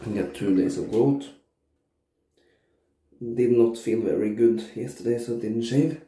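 A man's voice: medium pitch, slight background noise. A man in his thirties with a cold and a stuffy nose, reviewing shaving soap casually in his bathroom while shaving on camera. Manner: slow, relaxed, frequent uh and um pauses. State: congested from a cold, sore throat. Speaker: shaving vlogger. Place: small bathroom indoors. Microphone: camera at arm's length. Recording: echoey bathroom.

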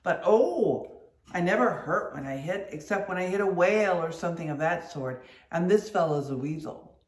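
A reader voicing a boisterous, mocking whaling mate who rants loudly in indignation. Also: medium pitch, animated, moderate pace, reverberant, lightly acted. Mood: indignant. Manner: loud, mocking, indignant outbursts. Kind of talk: audiobook character reading.

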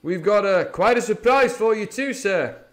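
A man voicing a jovial, sly old one-legged pirate cook with loud, drawn-out, hearty speech. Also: high voice, animated, voice pushed up. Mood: sly cheerful.

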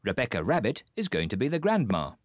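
A man with a British accent narrating a preschool cartoon in a clear, simple storytelling manner. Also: medium pitch, wildly swooping pitch, fast, no room sound. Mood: bright storytelling.

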